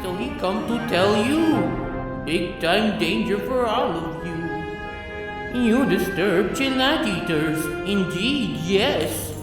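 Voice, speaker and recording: A slow, eccentric little alien native, speaking in broken sentences with odd verbal tics. The voice sounds distant in a huge echoing space, with music behind it. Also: female voice, medium pitch, expressive. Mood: earnest warning. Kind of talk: character acting in comic dub. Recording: very echoey cave-like hall, distant, music behind.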